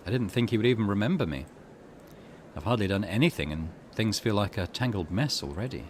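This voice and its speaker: A man's voice: on the low side, animated, brisk, a little hiss or hum. A man hosting a gaming channel, reading game text aloud and adding musing commentary, with faint game ambience behind him.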